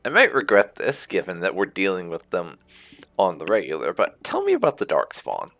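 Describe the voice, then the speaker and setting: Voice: low-pitched, wildly swooping pitch, moderate pace, a bit bright, dry recording. A video game streamer giving casual, rambling let's-play commentary with wry asides.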